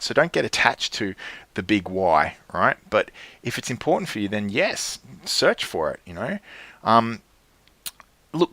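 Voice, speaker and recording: A man coaching his clients, earnest and checking in often, with lively jumps in pitch and frequent restarts. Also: no echo. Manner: earnest, keeps checking in with "right?". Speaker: life coach.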